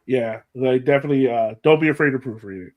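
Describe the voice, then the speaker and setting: A man hosting a sports podcast in casual chat, animated and often repeating phrases for emphasis. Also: mid-range voice, quick pace, phone-quality sound. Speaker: podcast host.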